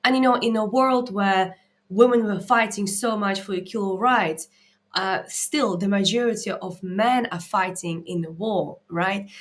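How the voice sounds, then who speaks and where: A woman with an Eastern European accent speaks at a medium pitch in an unscripted live talk. She is earnest and emphatic, like a coach answering viewers' questions. She punctuates her points with short check-in words. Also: measured pace. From Latvia. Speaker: mindset coach and live Q&A host.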